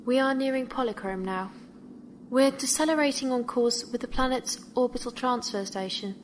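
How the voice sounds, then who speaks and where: An actress playing a composed, formal ship's artificial mind, speaking precisely and with measured care in a science fiction audio drama. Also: female voice, a little high, slight background noise, natural voice. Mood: composed, factual. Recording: music behind the voice.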